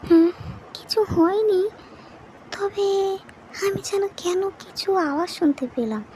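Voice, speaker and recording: A woman acts as an excitable housewife in a horror cartoon. Her voice is high and expressive, chatty and coaxing, with faint noise behind it. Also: a little slow. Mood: uneasy. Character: excitable, homely young wife in a new house.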